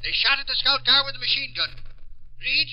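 A high-pitched, excitable Irishman, eager and a bit bumbling, in 1940s radio style. He talks folksy and boastful, doubling back to stress his point. The sound is a muffled vintage broadcast. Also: fairly quick. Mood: eager, breathless urgency. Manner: excitable, folksy, repeats himself for emphasis.

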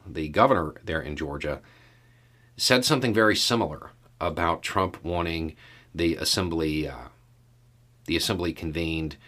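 A man with a very low voice hosts a casual news commentary. He speaks slowly and in a measured way, leaving deliberate pauses as he works through each point. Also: bright, forceful tone. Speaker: podcast host commentator.

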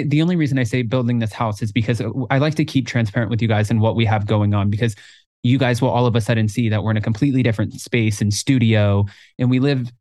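Guy in his 20s cohosting a podcast, animated and chatty, voice jumps up when he quotes himself, dry sound. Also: mid-range voice, no room sound. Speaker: podcast co-host. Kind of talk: casual chat.

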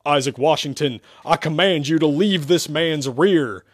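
A man playing a stern priest at an exorcism, commanding and melodramatic in a shouted delivery. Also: low-pitched, lively intonation, moderate pace, slightly rough, no room sound, studio quality, pitched below the performer's own voice, exaggerated voice. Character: stern, dramatic male priest performing an exorcism.

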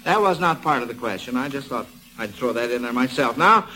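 A man's voice: mid-range voice, expressive, a little fast, sharp tone. A middle-aged American man hosting an old-time radio quiz show in a folksy, chatty way, repeating himself approvingly. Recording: noisy vintage radio broadcast recording.

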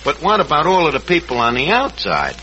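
An actor playing a cocky, uneducated tavern manager who mangles fancy words in 1940s radio comedy. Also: male voice, on the high side, huge pitch swings, fairly quick, highs cut off, full character voice. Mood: explaining, self-important. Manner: pompous malapropisms, wisecracking. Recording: noisy vintage radio recording, loud studio audience.

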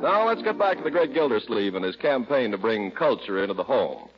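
A man announcing on 1940s radio, reading sponsor copy and scene narration with a polished, emphatic salesman delivery and husky voice. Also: mid-range voice, sing-song, fairly quick, over loud music. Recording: dull vintage radio recording.

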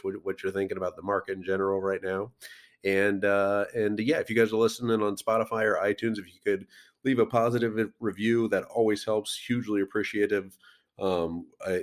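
A man hosting a crypto podcast, rambling casually with many fillers while sick and losing his voice. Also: on the low side. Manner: rambling, lots of fillers and restarts.

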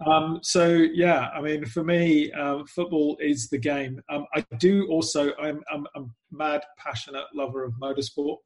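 A man's voice: a little high, flat delivery, unhurried, hardly any pauses, rough recording. An English man from the UK, chatting casually as an interview guest, self-deprecating and full of "um"s. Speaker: guest, club PR executive.

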